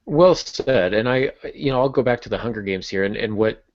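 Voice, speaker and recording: A man's voice: mid-range voice, brisk. A man hosting a conversational discussion about writing, explaining informally with wry asides. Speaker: podcast host. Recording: muffled lo-fi audio.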